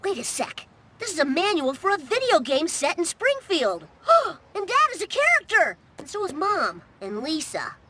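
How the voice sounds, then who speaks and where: A high, bright American cartoon boy, mischievous and cocky. He talks in brash, excitable wisecracks with big swoops in pitch, as in an animated comedy game. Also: normal speed, a little hiss or hum, heavily acted. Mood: wry.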